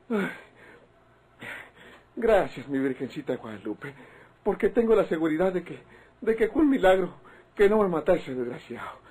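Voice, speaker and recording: An actor with a Mexican accent playing a rattled, superstitious, grumbling ranch underling in a radio drama, with a husky, rough voice. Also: male voice, high-pitched, a little mellow. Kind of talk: old radio drama acting.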